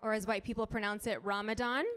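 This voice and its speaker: A young woman from Colorado doing a stand-up set on a club stage, wry and punchy, with quick asides to the crowd. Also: medium pitch, fairly even intonation. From United States (Colorado). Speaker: stand-up comedian.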